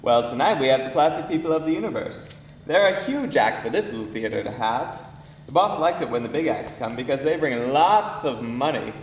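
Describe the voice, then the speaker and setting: A man's voice: medium pitch, lively intonation, a little fast, close to an everyday voice. A man playing a nervous, chatty theater staff member showing a newcomer around. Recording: noisy echoey room, distant mic.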